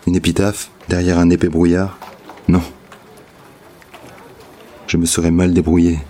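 Audio drama monologue: grave, disillusioned reporter, very low mellow voice, solemn and literary. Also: male voice, flat delivery, measured pace, slight background noise. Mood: bitter, resigned. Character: Andreï, grave, disillusioned male journalist confessing truth.

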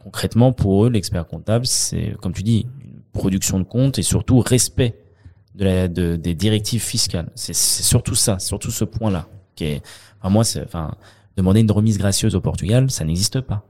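Low-voiced Frenchman, mellow and hesitant. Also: normal speed. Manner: hesitant, many fillers and restarts. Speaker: accountant, guest. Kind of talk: conversational interview.

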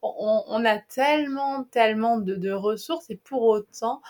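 Chatty, slightly high-voiced woman host. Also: unhurried, darkish tone. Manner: chatty, enthusiastic, casual. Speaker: podcast host. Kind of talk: podcast interview.

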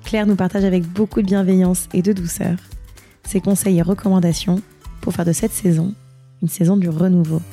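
A woman's voice: lowish voice, fairly even intonation, brisk, dark tone, faint music behind. A woman hosting a podcast, introducing and interviewing a guest. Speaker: podcast host.

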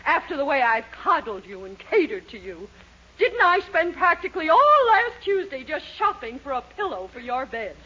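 An actress playing a bossy, excitable middle-aged mother and landlady in a 1940s radio comedy, with an emphatic, fussy delivery. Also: high-pitched, moderate pace, heavily acted. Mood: indignant. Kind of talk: 1940s radio sitcom acting. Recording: muffled 1940s radio recording.

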